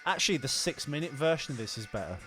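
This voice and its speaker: A man's voice: on the high side, very animated, fast talker, over music. A man hosting a comedic film podcast, chatting casually in a jokey, crude, rambling way. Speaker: podcast host.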